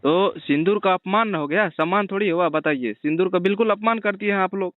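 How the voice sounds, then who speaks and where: Tinny phone call, fairly high male voice, blunt, badgering, accusing interviewer. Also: fairly quick, dry recording.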